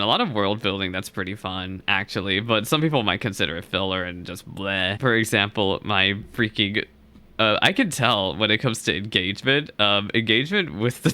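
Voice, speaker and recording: A man's voice: lowish voice, pushed and bright. A young man hosting a gaming reaction video with informal, rambling commentary.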